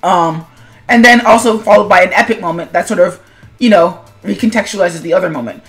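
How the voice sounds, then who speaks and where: A woman's voice: fairly low pitch, a little fast, soft background music. A woman talking casually as an animator and video host, in a rambling, self-deprecating, conversational way. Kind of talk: casual commentary vlog on own animation.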